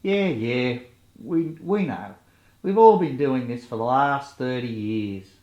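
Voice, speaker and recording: A man's voice: medium pitch, expressive, slow, a little mellow, highs cut off. A man playing a jaded, sardonic older radio actor in a comedy about a long-running serial. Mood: sardonic, weary.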